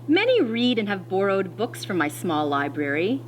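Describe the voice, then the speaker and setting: A woman teacher reading a picture book aloud to children in an expressive, deliberate way, pausing to explain as she goes. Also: medium pitch, a little hiss or hum.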